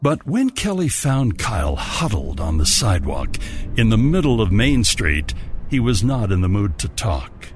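A man's voice: lowish voice, very animated, unhurried, a little hiss or hum. A man narrating a radio drama from a script as a storyteller.

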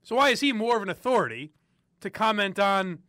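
A man's voice: high voice, lively intonation, brisk, sharp tone, dry recording, studio quality. A young man from the area, hosting opinionated talk radio and ranting with frequent laughs. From Boston area. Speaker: sports radio host.

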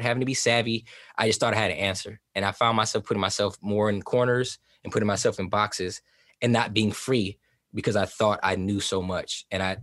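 A man's voice: medium pitch, no room sound, clean studio sound. A forty-year-old American man speaking reflectively and informally as an interview guest, rambling into slangy stories. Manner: rambling, animated storytelling with slangy phrasing.

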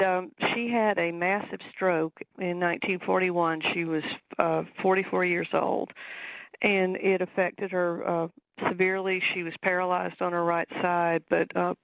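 Woman, Southern accent, author guest, gravelly, halting with fillers, dry close sound. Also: on the low side, no room sound, poor audio. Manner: thoughtful, many fillers and restarts. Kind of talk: radio interview.

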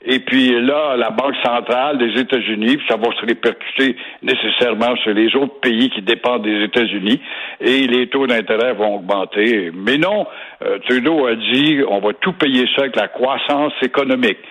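A man's voice: medium pitch, normal speed, fairly bright tone, breathy edge, poor audio. A man with a Québécois accent giving opinionated, sarcastic commentary as a regular radio guest. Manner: sarcastic, mocking repetition.

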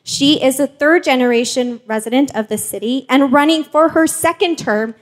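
A woman's voice: high-pitched, moderate pace. A woman from Mexico hosting a live panel in a casual, chatty, giggly and informal way. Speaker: host and journalist.

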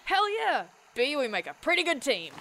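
A woman's voice: high-pitched, big pitch swings, moderate pace, noisy background. An actress playing a sarcastic, brash young woman who hunts monsters in an audio drama, with a blunt, wry, slangy delivery. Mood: animated.

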